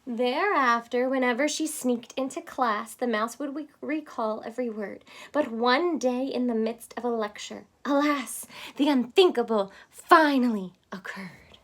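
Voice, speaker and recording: A woman with a fairly high voice reads a children's storybook aloud. Her delivery is dramatic and expressive, clearly pitched at young listeners. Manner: expressive, pausing to explain words simply. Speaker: storybook reader.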